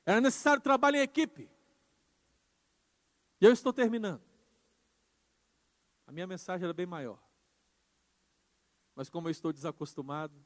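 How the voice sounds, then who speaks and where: A man pastor preaching a sermon with emphasis, moving between quiet passages and loud insistent ones. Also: on the high side. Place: church. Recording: church hall with long reverb.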